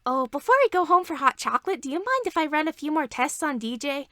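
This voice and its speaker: Woman as an awkward, guileless princess inventor, high-voiced. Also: sing-song, quick pace, fairly bright tone, dry recording, studio quality. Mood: bouncy, eager. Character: socially awkward, blunt young princess inventor.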